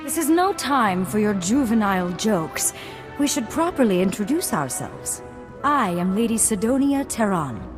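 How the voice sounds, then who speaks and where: A woman's voice: medium pitch, big pitch swings, normal speed, over music, natural voice. A woman playing a proud young noblewoman in a video game, speaking formally and earnestly, with a haughty, scolding edge. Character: proud, prim young noblewoman, earnest heiress of a fallen house.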